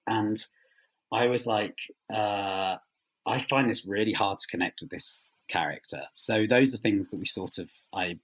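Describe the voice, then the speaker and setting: Husky guy with a lowish voice talking thru his writing in an interview, thoughtful, kinda halting. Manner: thoughtful, halting, searching for words. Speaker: interviewed writer. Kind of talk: reflective interview conversation.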